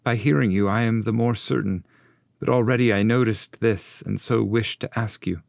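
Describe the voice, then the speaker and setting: A man's voice: lowish voice, steady tone, moderate pace, gravelly, a little mellow, no room sound, studio quality, pitched above the performer's own voice. A man voicing a reverent, eager pilgrim poet who speaks to his master in earnest, formal, pleading verse. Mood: respectful, curious. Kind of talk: dramatic audiobook reading of epic verse.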